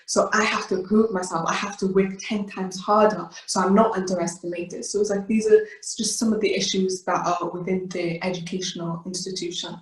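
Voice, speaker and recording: A woman's voice: mid-range voice, fairly even intonation, a little fast, few pauses, echoey room, poor audio. Earnest teenage woman. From Wales. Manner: earnest, many fillers and restarts. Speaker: youth ambassador. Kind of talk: personal talk to an online event.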